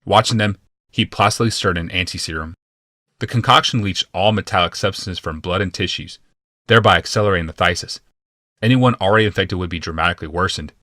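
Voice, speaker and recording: A man's voice: deep voice, quick pace, sharp tone, dry recording, clean studio sound. A man narrating fantasy fiction as a scripted, dramatic audiobook.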